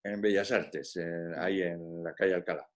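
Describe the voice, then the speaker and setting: A man in an audience on a video call, talking in a rambling way with halting restarts. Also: deep voice, steady tone. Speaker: audience member. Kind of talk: casual chat in a video call.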